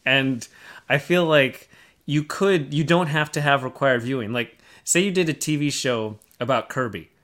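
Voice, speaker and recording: Guy co-hosting a podcast, just chatting with the others, medium pitched voice. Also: normal speed, a bit bright. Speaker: podcast co-host. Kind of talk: casual panel discussion.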